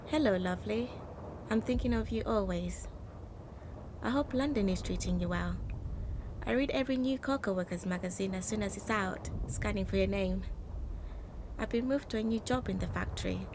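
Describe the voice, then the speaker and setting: A woman's voice: normal speed, close to an everyday voice. A woman playing an affectionate 1940s factory worker reading out a letter to her sister in a radio play. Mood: tender, wistful. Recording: noisy, rumbling background ambience.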